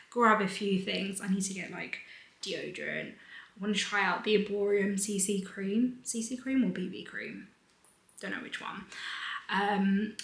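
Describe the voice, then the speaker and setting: Breezy young British woman, a little hoarse. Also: medium pitch, a bit bright, echoey room. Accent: British English. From United Kingdom. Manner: breezy and rambling, sometimes half-whispered. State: slightly hoarse. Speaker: vlogger. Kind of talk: casual chatty vlog.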